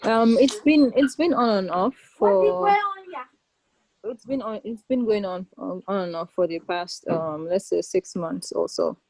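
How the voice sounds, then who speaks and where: A woman role-playing a patient in a mock exam, speaking haltingly over a video call with many ums. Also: mid-range voice, animated, gravelly, darkish tone, low-quality recording.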